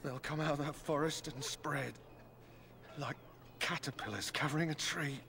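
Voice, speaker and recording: A man playing a wounded, terrified madman in a fantasy game, raving haltingly and ominously about doom. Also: fairly high pitch, vocal fry, sharp tone, slight background noise. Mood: haunted raving.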